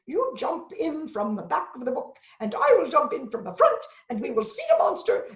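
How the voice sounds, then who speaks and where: Storybook monster, male, furry and blustering, played by a woman: high, wildly swooping delivery, melodramatic begging. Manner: melodramatic, pleading. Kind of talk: animated children's read-aloud. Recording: roomy, dull lo-fi sound.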